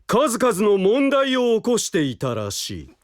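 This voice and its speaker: A man's voice: high voice, huge pitch swings, measured pace, dry recording, studio quality, heavily acted. A man playing a merciless, haughty prosecutor in an audio drama, with pompous, commanding phrasing. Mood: accusatory, forceful. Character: haughty, merciless male prosecutor pressing the accused. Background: background music.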